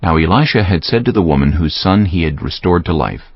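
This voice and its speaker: A man's voice: sits low, expressive, fairly quick, husky, no echo, dull audio. A man giving scripted narration of scripture as an audiobook narrator.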